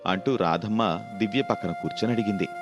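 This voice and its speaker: Low-pitched male storyteller. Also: a little fast. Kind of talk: scripted story narration. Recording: music behind the voice.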